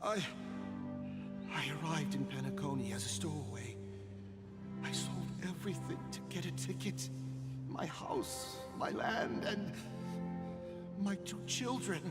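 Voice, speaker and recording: An actor playing a timid, grovelling penitent in a video game, halting and stammering as he pleads. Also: a little high, lively intonation. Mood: desperate, pleading. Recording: long hall reverb, loud music behind.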